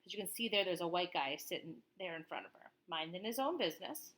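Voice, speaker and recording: A woman reading a picture book aloud to children expressively, pausing to explain things simply to young listeners. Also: lowish voice, expressive, background noise.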